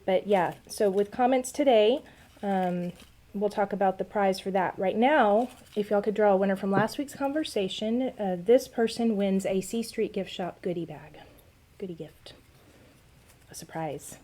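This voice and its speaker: Soft-spoken woman hosting. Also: medium pitch, measured pace. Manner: friendly, chatty, with frequent ums. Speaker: host. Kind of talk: casual livestream chat. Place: small newspaper office.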